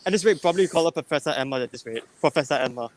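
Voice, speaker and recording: A man's voice: on the high side, very fast. A wordy man chatting casually as a panel member in an online group call, often saying "you know". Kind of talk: casual group live-stream chat.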